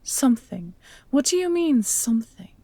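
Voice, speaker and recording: A woman playing a cold, menacing evil noblewoman in a fantasy audio drama, imperious, commanding and threatening in her deliberate delivery. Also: high voice, very animated, mellow, no room sound, heavily acted. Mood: cold, impatient.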